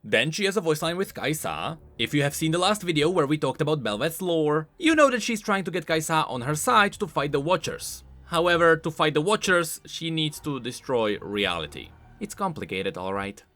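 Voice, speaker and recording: A man's voice: on the high side, big pitch swings, moderate pace, hardly any pauses, bright, forceful tone, no echo. A man hosting a scripted explainer on video game lore, talking informally with emphatic, swooping stresses.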